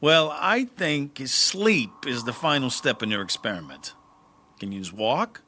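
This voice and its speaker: A man's voice: mid-range voice, sing-song, a little slow, bright, forceful tone, slight background noise. An actor playing a wry, folksy, kindly man in an audio drama, teasing and colloquial. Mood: kindly, exasperated. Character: wry, folksy caretaker, amused and kindly toward a drunk.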